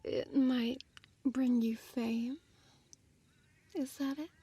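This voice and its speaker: A woman plays a female vampire toying with her prey in an intimate audio roleplay. Her voice is fairly high and breathy, often sinking into whispers. She speaks extremely slowly, mocking and condescending, with a seductive predator's menace. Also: fairly even intonation, dark tone, exaggerated voice. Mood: feigned surprise, taunting. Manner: slow, teasing, condescending, formal diction.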